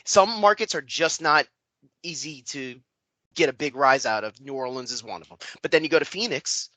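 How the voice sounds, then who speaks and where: A man co-hosting a casual wrestling podcast, opinionated and rambling, his voice dropping off quietly at the ends of thoughts. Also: fairly high pitch, lively intonation, moderate pace, dry recording. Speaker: podcast co-host.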